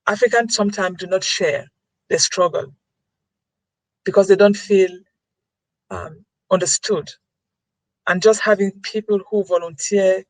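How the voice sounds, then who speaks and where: A woman's voice: fairly low pitch, no room sound, low-quality recording. A woman giving an earnest webinar talk, repeating key phrases for emphasis over a computer mic. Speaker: webinar presenter.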